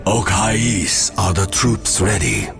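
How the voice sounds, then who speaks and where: Regal alien elder statesman, measured game dialogue, unhurried, animated intonation, music behind. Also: low voice, creaky, exaggerated voice. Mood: calm, commanding. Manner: measured, courteous, authoritative. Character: calm, regal male alien spiritual leader.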